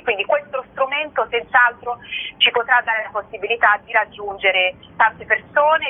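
A woman explaining things as an interviewed cinema programme director. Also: medium pitch. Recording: noisy, narrow phone-line sound on radio.

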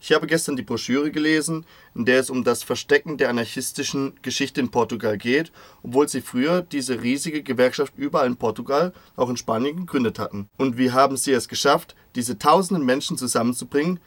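A man's voice: medium pitch, a little fast, dry recording. A man reading a translated interview as a radio voice-over.

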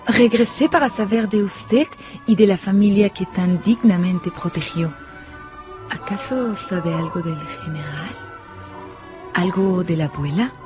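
An actress playing a seductive, flattering Frenchwoman, coy and theatrical in a radio drama. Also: medium pitch, a little slow, faint music behind, close to an everyday voice. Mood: curious, probing.

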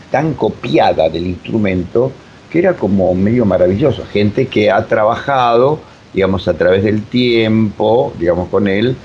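An Argentine man explaining as an interview guest, speaking haltingly and repeating words as he searches for phrasing. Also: lowish voice, darkish tone. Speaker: interview guest, radio drama director. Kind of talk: spontaneous explanatory interview talk. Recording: dull lo-fi audio, clear noise.

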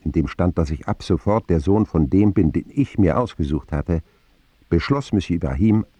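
A man's voice: low-pitched, animated, moderate pace, dark tone, breathy edge, dry recording. An actor playing a wry, reflective man who narrates his boyhood in an intimate radio drama style.

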